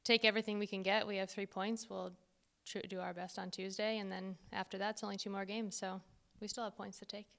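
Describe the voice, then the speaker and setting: A young woman, a player giving a relaxed post-match interview, with frequent "um" and "I mean". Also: mid-range voice, normal speed, vocal fry. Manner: relaxed, filler-laced. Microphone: handheld mic held by interviewer.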